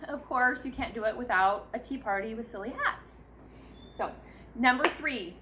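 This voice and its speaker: A woman's voice: a little high, a bit bright, a little hiss or hum. A woman in her thirties, a mother giving casual, encouraging tips in a chatty vlog style. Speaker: mother sharing parenting tips. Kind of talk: casual instructional vlog talk.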